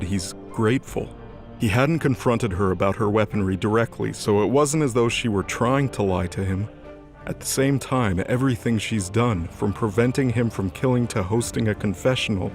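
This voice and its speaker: A man narrating a fantasy audio drama from a script in a measured storytelling manner. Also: lowish voice. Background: music.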